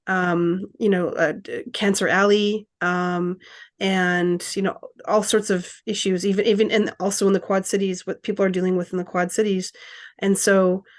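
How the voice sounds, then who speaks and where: A woman speaks as a community organizer on a conference panel. Her voice sits at a middle pitch, and she talks hesitantly, with plenty of fillers between phrases. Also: little pitch movement, moderate pace.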